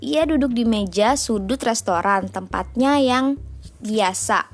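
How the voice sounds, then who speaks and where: A woman's voice: on the high side, takes it slowly. An Indonesian woman reading a children's storybook aloud as a mother, expressively and with informal asides. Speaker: mother reading a bedtime story, podcast host.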